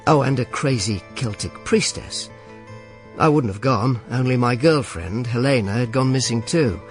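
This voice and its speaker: An actor playing a world-weary, sardonic Roman informer in a radio drama, grumpy and dry-witted. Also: male voice, medium pitch, expressive, normal speed, soft background music, lightly acted. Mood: wry, weary. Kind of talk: radio drama narration and dialogue.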